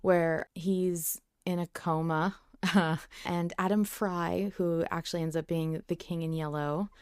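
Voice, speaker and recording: Smooth, low-pitched woman, dry sound. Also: steady tone, measured pace, a little mellow, no echo. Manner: hesitant, recalling aloud. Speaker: podcast co-host. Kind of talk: casual chat.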